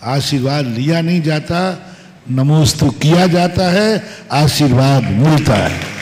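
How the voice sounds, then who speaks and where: A man preaching a sermon as a monk, slow and emphatic, posing rhetorical questions and repeating short maxims. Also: a little high, expressive, darkish tone, a faint crowd, a little hiss or hum. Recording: long hall reverb.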